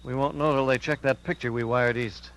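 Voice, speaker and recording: An actor playing a persuasive, insistent but kind young detective in a 1950s radio drama. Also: male voice, medium pitch, fairly even intonation, a little fast, a bit bright, lightly acted. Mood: earnest, pleading. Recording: hissy, humming 1950s radio recording.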